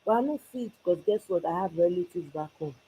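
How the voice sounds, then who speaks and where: Fiery Nigerian woman with a husky voice. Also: lowish voice, lively intonation, fairly quick, dark tone, rough recording. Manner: impassioned, mixing Pidgin with English. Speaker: commentary channel host. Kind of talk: solo political commentary. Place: abroad, away from Nigeria.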